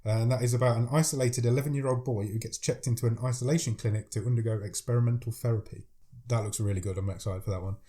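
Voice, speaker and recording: A man's voice: medium pitch, fairly even intonation, quick pace, warm tone. A man with a head cold, chatting eagerly about films as a podcast co-host. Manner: chatty, enthusiastic, reads out film blurbs. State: has a cold, deeper voice. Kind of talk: casual chat about films.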